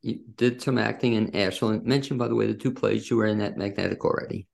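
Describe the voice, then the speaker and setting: A male interviewer with a heavily creaky, gravelly voice. He talks quickly in a casual, conversational way as he puts questions to a guest. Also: on the low side, steady tone, darkish tone. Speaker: interview host.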